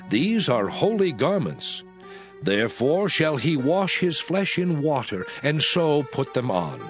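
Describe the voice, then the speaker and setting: A male narrator reads scripture formally and with measured care. His mid-pitched voice moves expressively up and down, and music plays clearly behind him.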